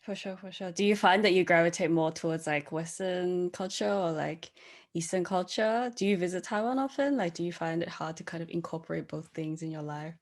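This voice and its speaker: A woman co-hosts a casual podcast with a slightly low voice. She keeps the conversation going by asking curious follow-up questions. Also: brisk. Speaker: podcast co-host.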